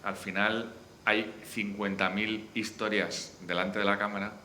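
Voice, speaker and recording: A man with a Spanish accent from Spain speaks on a discussion panel, unscripted, often halting and restarting his phrases. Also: lowish voice, level tone, bright, forceful tone. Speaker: panelist, series director. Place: live forum venue. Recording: echoey room, faint background noise.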